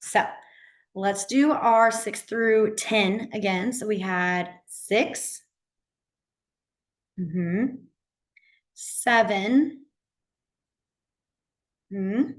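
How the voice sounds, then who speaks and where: A woman whose voice is hoarse and half gone. She teaches a beginner class online over a computer mic, chatty and encouraging, with plenty of fillers. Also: medium pitch, drawn-out words, pauses often. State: hoarse, partly lost voice. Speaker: sign language teacher.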